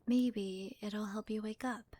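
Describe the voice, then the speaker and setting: A woman playing a devoted, deferential servant in an ASMR roleplay, with a soft, breathy, half-whispered voice. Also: medium pitch, fairly even intonation, slow pace, a little hiss or hum, natural voice. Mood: concerned, soothing. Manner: gentle, deferential, hesitant. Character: devoted, doting young female servant to her master.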